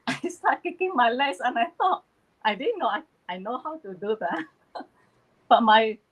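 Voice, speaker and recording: A woman's voice: a little high, poor audio. Malaysian woman, chatty live-stream guest. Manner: laughs often, warm storytelling. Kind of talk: casual chat on a live stream.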